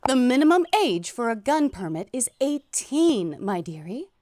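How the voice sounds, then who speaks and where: A woman voicing a fussy, officious, easily scandalized female inspector in an animated comic dub. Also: fairly high pitch, normal speed, no echo, clean studio sound. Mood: officious, condescending. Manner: officious, pedantic, flustered outbursts. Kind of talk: animated fandub voice acting.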